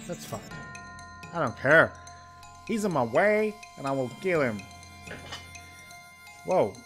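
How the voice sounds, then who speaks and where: A man's voice: big pitch swings, very slow, long pauses, a bit bright. A man streaming a game, reading its text aloud and joking between the lines. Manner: playful, reads narration with exaggerated pitch swings. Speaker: gameplay streamer. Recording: music playing underneath.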